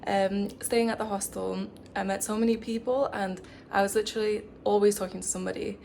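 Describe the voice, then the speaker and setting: A young woman from England with an English accent, chatting casually to camera as a travel vlogger, full of "like" and "um" and quick laughs. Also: mid-range voice, level tone, vocal fry, darkish tone, a little hiss or hum. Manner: chatty, lots of "like" and "um", laughs often. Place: studio flat.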